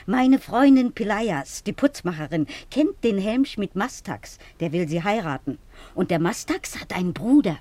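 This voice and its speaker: An actress in a German radio play, playing the scheming, bossy wife of a poor donkey driver, insistent and worldly-wise. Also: female voice, mid-range voice, very animated, moderate pace, warm tone, no echo. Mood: impatient, persuasive. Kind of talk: German radio play acting, dialogue with asides.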